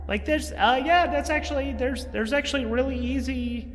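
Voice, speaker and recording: A man's voice: extremely high pitch, moderate pace, big-room sound, pitched above the performer's own voice. A man voicing a cheeky, foul-mouthed six-year-old girl in a tabletop space adventure, slangy and offhand. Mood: offhand warning.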